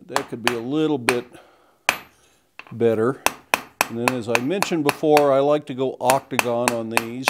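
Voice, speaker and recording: A middle-aged blacksmith explaining step by step in a plain, unhurried way as he demonstrates forging in a small workshop. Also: mid-range voice, steady tone, very noisy. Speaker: blacksmith instructor. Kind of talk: hands-on demonstration. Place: small workshop forge, indoors.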